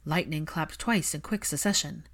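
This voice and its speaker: A woman's voice: sits low, normal speed. A woman reading a story aloud as a narrator, in scripted third-person prose. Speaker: fan fiction narrator.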